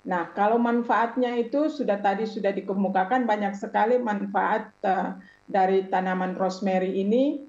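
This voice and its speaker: A woman speaking as an expert guest on a talk show, explaining at a measured pace with many hesitations. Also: mid-range voice, steady tone, darkish tone. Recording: echoey, dull lo-fi sound.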